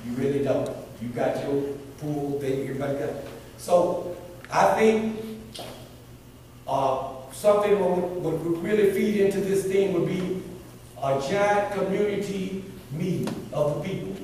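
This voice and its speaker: A man's voice: sits high, unhurried, pauses often, darkish tone, slight background noise. A man with a big voice, making an earnest, rambling public comment at a board meeting, with preacher-like appeals. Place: large echoey meeting hall. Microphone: room mic from a distance. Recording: echoey hall from far off.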